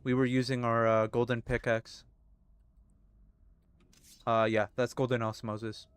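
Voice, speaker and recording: A man's voice: mid-range voice, level tone, unhurried, smooth, no echo. Laid-back man in his thirties streaming. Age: 30s. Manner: laid-back, swears and laughs easily, talks to chat. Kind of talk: casual live-stream chat while gaming. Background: faint music.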